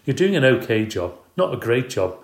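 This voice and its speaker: A man's voice: on the low side, moderate pace, a little mellow, reverberant, natural voice. A man reading aloud, voicing a tired, angry working mother who complains and speaks sarcastically.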